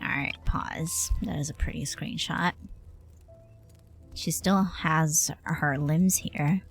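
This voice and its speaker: A woman's voice: sits low, steady tone, takes it slowly, gravelly. A woman livestreaming a game, giving casual, informal reaction commentary as she muses aloud. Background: game music.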